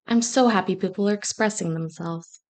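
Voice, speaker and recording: A woman's voice: mid-range voice, fairly quick, darkish tone. A woman born in Scotland hosting a casual livestream from her kitchen, chatty and joking with a sarcastic streak. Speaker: livestream host. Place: home kitchen.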